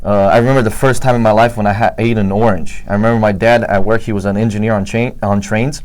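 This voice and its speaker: Fast-talking man from Romania. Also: on the low side, steady tone, a little mellow. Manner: earnest, many fillers like uh and you know. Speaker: guest. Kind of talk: testimony interview.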